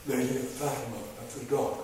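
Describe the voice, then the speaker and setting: A man reading his own poems to an audience, with slow, measured delivery and wry asides between poems. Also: medium pitch, little pitch movement. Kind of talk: poetry reading with spoken asides. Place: large hall before a live audience. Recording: very echoey hall, voice far from mic, background noise.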